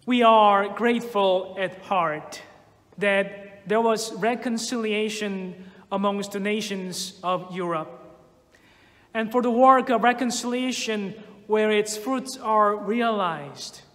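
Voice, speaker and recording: A minister reading prayers in a slow, measured liturgical manner in a church sanctuary. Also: male voice, high-pitched, fairly bright tone, in a large hall. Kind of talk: leading prayers in a church service.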